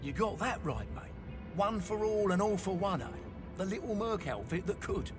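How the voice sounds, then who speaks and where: An actor playing a cocky, loyal, high-spirited mercenary crewman in a video game, brash and slangy. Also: expressive, moderate pace, over music. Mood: proud, cheeky. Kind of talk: video game cutscene acting.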